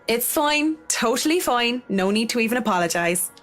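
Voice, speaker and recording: Polite, higher-voiced young designer. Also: female voice, expressive, moderate pace, fairly bright tone, soft background music. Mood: friendly, reassuring. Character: polite, obliging young professional woman designer. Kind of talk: video game voice acting.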